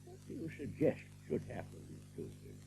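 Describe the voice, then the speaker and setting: An actor playing a grave, elderly rabbit councillor with a creaky voice in an audiobook drama. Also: male voice, a little high, wildly swooping pitch, moderate pace, warm tone, faint music behind, full character voice. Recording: noisy recording with hum.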